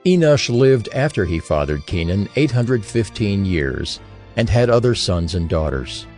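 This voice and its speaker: A man's voice: fairly low pitch, big pitch swings, unhurried, a little mellow, soft background music. A man narrating scripture from a script in a declarative storytelling manner.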